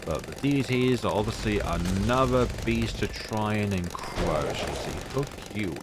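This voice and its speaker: A man with a deep voice giving casual, rambling commentary on his own gameplay, full of fillers. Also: expressive, moderate pace, noisy background. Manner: rambling, chatty, many fillers. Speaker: gaming YouTuber. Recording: music playing behind voice.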